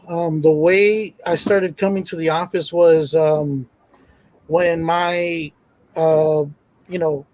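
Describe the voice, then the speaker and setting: Male wrestler guest, slightly high voice, careful halting delivery. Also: no echo, poor audio. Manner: measured, hesitant, many fillers. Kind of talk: casual interview chat.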